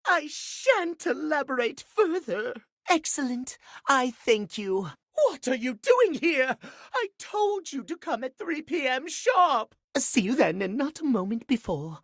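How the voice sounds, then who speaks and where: A man's voice: very high voice, very animated, a little slow, a bit bright, no echo, clean studio sound, heavily acted. A man voicing a fussy, pompous male clock obsessed with punctuality in a video game, with prim, haughty, precise elocution. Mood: outraged. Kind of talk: theatrical video game character acting.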